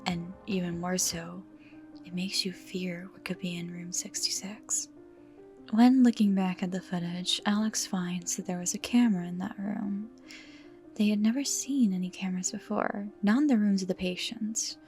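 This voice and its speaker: A woman delivers scripted horror commentary at a medium pitch. She stresses words for drama and often lets the ends of phrases fall to a breathy hush, while music plays behind her. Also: fairly even intonation, normal speed. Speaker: video essay host.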